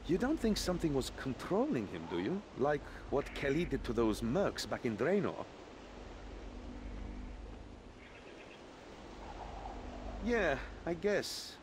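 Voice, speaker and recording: Young game adventurer, wry, chatty, swooping intonation, noisy ambient backdrop. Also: male voice, fairly high pitch, normal speed. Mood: puzzled. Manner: chatty, expressive, informal. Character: brisk, wry young male adventurer companion. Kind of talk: video game voice acting.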